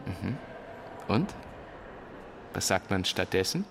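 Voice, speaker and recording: A male actor playing an idealistic, principled schoolteacher in a radio drama, earnest and deliberate with a dry manner. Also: low voice, sing-song, gravelly, a little hiss or hum, full character voice. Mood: stern, probing. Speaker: school teacher. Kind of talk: German radio drama acting.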